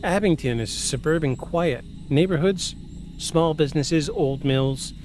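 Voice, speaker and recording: A man's voice: a little high, measured pace, darkish tone, a little hiss or hum. A man in his forties hosting a podcast, moving between scripted narration and joking, self-deprecating casual chat. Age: 40s. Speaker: podcast host.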